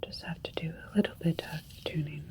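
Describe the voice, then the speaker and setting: Woman acting as female alien doctor, hushed breathy whisper, low-pitched, calm, clinical, soothing sci-fi ASMR roleplay. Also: close to an everyday voice. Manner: slow, soothing, methodical, politely clinical.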